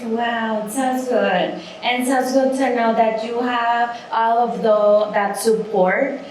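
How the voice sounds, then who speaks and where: Halting woman host, echoey. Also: a little high, steady tone, darkish tone, a little hiss or hum. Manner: halting, searching for words. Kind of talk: casual interview questions. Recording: very echoey room, faint ambience.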